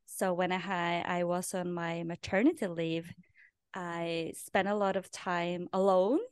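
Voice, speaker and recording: A woman's voice: fairly low pitch, flat delivery, measured pace, smooth, dry recording, studio quality. A woman from Norway, speaking English as a second language, telling her story earnestly as a podcast guest and often repeating a word as she restarts. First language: Norwegian. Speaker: podcast guest, life purpose coach. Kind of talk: casual storytelling interview.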